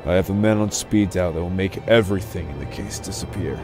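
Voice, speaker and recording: A man as a low, threatening crime boss. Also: fairly quick, lightly acted. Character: gruff, angry, threatening male crime boss. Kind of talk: dramatic motion comic voice acting. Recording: music behind the voice. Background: music.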